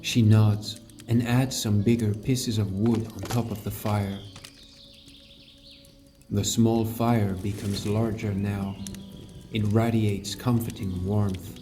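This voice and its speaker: Fantasy audiobook lead, male actor: caring mentor adventurer, earnest, measured narration, dark warm tone, faint music behind. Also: on the low side, level tone. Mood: calm, caring. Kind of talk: scripted first-person audiobook narration.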